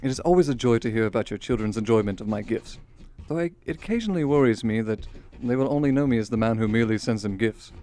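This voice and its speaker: An actor playing a courteous, affectionate Victorian gentleman reading letters to his sister in a radio drama, in formal, articulate period diction. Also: medium pitch, quick pace, lightly acted. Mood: wistful, fond.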